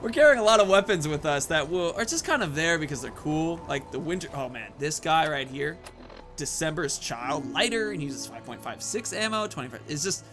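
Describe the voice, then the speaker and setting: Higher-voiced man rambling through game commentary. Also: lively intonation, brisk, music in the background. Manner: rambling, thinking aloud. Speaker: gaming video host. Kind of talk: casual let's play commentary.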